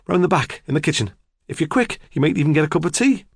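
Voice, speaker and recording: A man reading the part of a brisk, energetic farmer's wife of about fifty, who talks breathlessly and in a hurry. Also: deep voice, gravelly, slightly rough, no echo, studio quality, voice pushed up, exaggerated voice. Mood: hurried. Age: 50s. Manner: breathless, hurried.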